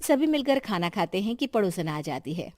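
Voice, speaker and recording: A woman's voice: medium pitch, sing-song, normal speed, a little mellow, no room sound, clean studio sound. A woman giving scripted narration for a family story.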